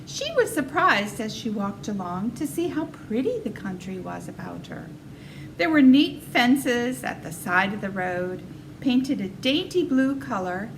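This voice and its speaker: A woman's voice: fairly high pitch, slight background noise, low-quality recording. A woman reading a children's storybook aloud as narrator. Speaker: storybook reader.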